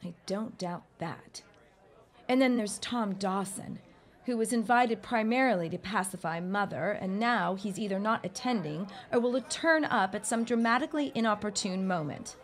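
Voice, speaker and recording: A woman's voice: medium pitch, moderate pace, close to an everyday voice. A woman playing an anxious, talkative 1910s bride-to-be in a period audio drama, wry and exasperated. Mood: indignant. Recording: party crowd chatter behind.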